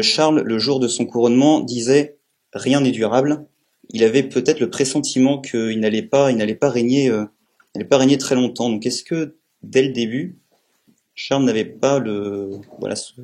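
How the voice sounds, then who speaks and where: A man from France moderating a live public talk, asking questions in a halting way and searching for words. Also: mid-range voice, steady tone, a little mellow. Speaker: moderator, interviewer. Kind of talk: live public interview, semi-prepared questions.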